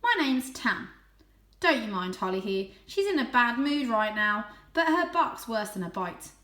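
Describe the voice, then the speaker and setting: Woman voicing a chatty, excitable female cat, high-pitched. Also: measured pace, echoey room. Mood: friendly. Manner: warm, excitable, teasing. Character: friendly, chatty, wide-eyed female shaggy brown cat.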